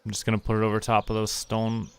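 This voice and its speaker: Low-voiced man muttering through gameplay. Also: fast, creaky, clean tone. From Canada. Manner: relaxed, muttering to himself while playing. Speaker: streamer. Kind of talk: casual gameplay commentary. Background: game music.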